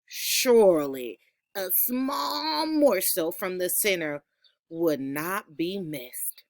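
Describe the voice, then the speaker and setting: A woman teacher reading a storybook aloud to children in an enthusiastic, expressive way. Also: on the low side, extremely slow, dry recording.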